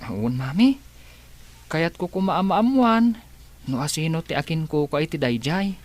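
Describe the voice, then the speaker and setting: Young Filipino son, Ilocano radio drama: high voice, inquisitive, hesitant. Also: male voice, big pitch swings, a little slow. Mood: hesitant, troubled.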